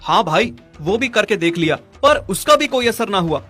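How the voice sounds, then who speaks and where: A man's voice: high voice, very animated, normal speed, sharp tone, heavily acted. A man playing a patient young cow owner in a cartoon story, earnest and mild in manner. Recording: music behind the voice.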